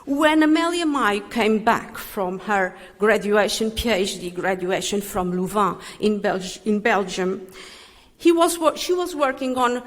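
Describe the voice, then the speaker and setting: A woman's voice: mid-range voice, lively intonation, unhurried. Older woman in a reverberant hall. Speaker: speaker giving a tribute. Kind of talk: commemorative speech. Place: large reverberant hall, live speech.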